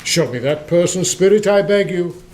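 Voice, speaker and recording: An actor in a community radio play, playing a frightened old miser who pleads earnestly and theatrically with spirits. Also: male voice, high-pitched, animated, moderate pace, darkish tone, a little hiss or hum. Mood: horrified pleading.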